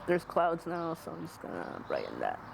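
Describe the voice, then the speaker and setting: Young guy early 20s just chatting, rambles and jokes about himself, bit high voice, faint outdoor noise. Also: wildly swooping pitch, moderate pace, vocal fry. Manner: rambling, self-deprecating, playfully joking. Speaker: interviewee, amateur videographer. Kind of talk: casual chat.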